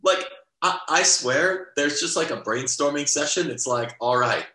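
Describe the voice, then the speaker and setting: A man's voice: fairly high pitch, expressive, unhurried, pushed and bright, roomy sound, low-quality recording. A young man co-hosting a sports podcast in casual, rambling chat, full of fillers and restarts. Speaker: podcast co-host.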